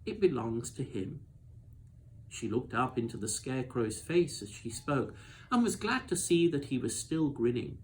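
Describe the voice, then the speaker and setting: A man narrates a children's storybook in an expressive read-aloud style. His voice sits at a middle pitch, and there is some background noise on the recording. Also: moderate pace, low-quality recording.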